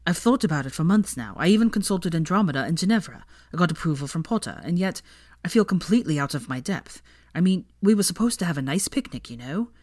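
A woman's voice: fairly low pitch, animated, fast, darkish tone, no room sound, studio quality. A woman voicing an anxious, stubborn young man in love, self-conscious and easily flustered, in an audiobook-style story reading. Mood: frustrated, flustered.

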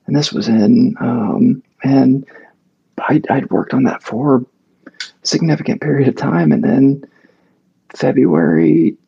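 A man's voice: medium pitch, fairly even intonation, slow, vocal fry, breathy edge, dull audio. A male actor speaking as an interview guest, telling a long story casually with many fillers and restarts.

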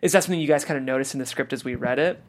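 A woman's voice: extremely low pitch, very fast. A woman hosting a casual panel discussion, chatty and loose with many fillers. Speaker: host.

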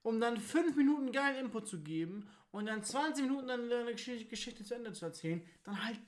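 A woman's voice: fairly high pitch, lively intonation, brisk, darkish tone, reverberant. A woman co-hosting a podcast, chatting casually in a blunt, opinionated and emphatic way. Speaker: podcast co-host. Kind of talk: casual conversational podcast chat.

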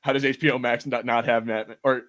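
A man hosting a casual watch-party commentary, talking with enthusiasm in a halting, repetitive way. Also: medium pitch, flat delivery, fairly bright tone. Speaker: podcast host.

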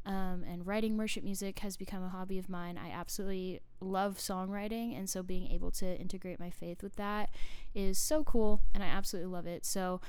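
Young Canadian woman, smooth chatty host. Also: mid-range voice, fairly even intonation, fairly quick, few pauses, darkish tone, no room sound. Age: 20s. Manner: chatty, friendly, many ums and little laughs. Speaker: podcast host. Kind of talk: casual solo podcast chat.

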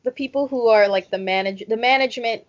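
A woman's voice: fairly high pitch, fast talker, lo-fi sound. A young woman co-hosting a podcast in casual chat, talking loosely with many fillers and a wry, guarded tone. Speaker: podcast co-host.

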